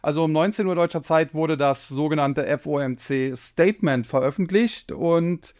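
German guy with a cold doing his finance podcast, kinda high voice, lots of ums. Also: normal speed, a little mellow. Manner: explanatory, frequent filler hesitations. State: slightly hoarse from a cold. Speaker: podcast host. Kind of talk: solo finance podcast commentary.

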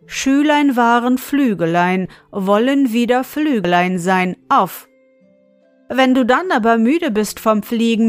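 A woman's voice: medium pitch, no echo, close to an everyday voice. A woman voicing a kindly, reassuring male wizard who speaks solemnly and instructively in a fairy-tale reading. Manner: solemn, instructing, chanting rhymed spells.

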